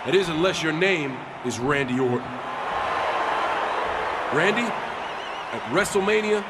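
An actor playing an earnest, defiant wrestling hero, giving an emphatic promo to an arena. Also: male voice, a little high, animated, unhurried. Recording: loud arena crowd noise.

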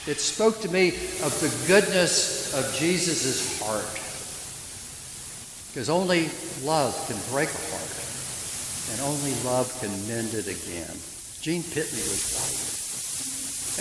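Older priest preaching in an echoey church. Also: male voice, on the high side. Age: 70s. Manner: gentle storytelling preacher who asks the congregation questions. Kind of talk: sermon. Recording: echoey church hall with hiss.

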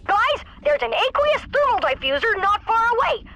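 A woman's voice: extremely high pitch, sing-song, normal speed, lo-fi sound. A woman voicing a brainy, confident boy inventor in a cartoon video game, eager and precise. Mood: explaining, confident. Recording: loud music behind.

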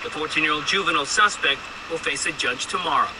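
A man's voice: on the high side, quick pace. A man in his thirties reporting live on TV from outside a hospital at night. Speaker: TV field reporter. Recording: TV broadcast replayed, noisy.

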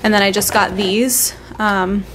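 A woman's voice: medium pitch, level tone, measured pace, fairly bright tone, a little hiss or hum, rough recording. A woman vlogging casually as a travel host, talking in an informal, conversational way. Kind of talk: casual vlog chat. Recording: music behind the voice. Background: music.

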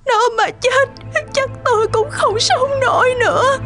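A woman's voice: very high voice, fairly even intonation, measured pace, a bit bright, soft background music. A woman voicing a distraught, pleading mother in a cartoon. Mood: desperate weeping. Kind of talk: cartoon dubbing.

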